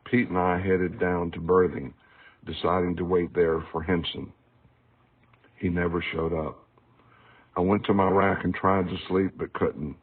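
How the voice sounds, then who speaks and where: A man's voice: sits low, little pitch movement, measured pace, long pauses, slightly rough. A man reading aloud from his own book in a scripted audiobook narration style, recorded with a tinny, narrow sound. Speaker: author reading a book excerpt. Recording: tinny, phone-like narrow band.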